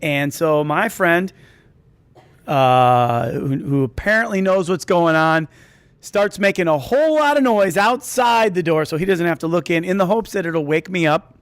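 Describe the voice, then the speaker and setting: A man's voice: on the high side, expressive, measured pace, clean tone, no echo. A man telling a comedic personal story to an audience, rambling and interrupting himself with asides.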